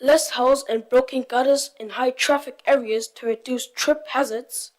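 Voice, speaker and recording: Young woman, from Tonga, high-pitched voice, youth presenter, reading prepared points aloud. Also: steady tone, moderate pace.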